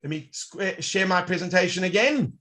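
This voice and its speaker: A man presenting a live online workshop, demonstrating software in an upbeat, showman-like way that talks directly to the audience. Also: sits high, moderate pace.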